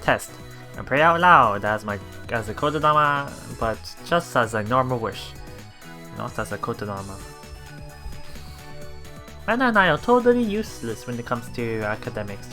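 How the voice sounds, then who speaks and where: Bright, animated man reading a visual novel aloud. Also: medium pitch. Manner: halting reading with repeats and self-corrections. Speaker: let's play streamer. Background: soft game music.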